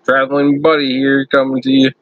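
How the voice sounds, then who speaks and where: A man hosting his own channel, chatting loudly and casually to viewers on a first live stream from his computer. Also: mid-range voice, completely flat delivery, brisk, no echo, lo-fi sound. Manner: loud friendly talker. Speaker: YouTube channel host.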